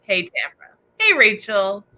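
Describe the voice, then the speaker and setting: A woman hosting a casual book livestream, excitable and chatty as she reacts to viewer comments. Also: medium pitch, moderate pace, rough recording. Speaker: book discussion livestream host.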